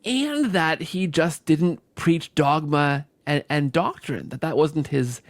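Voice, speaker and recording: A man's voice: fairly high pitch, animated, takes it slowly, dry recording. A man hosting an interview podcast, reflecting conversationally with enthusiasm and often repeating or restarting his phrases. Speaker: podcast host.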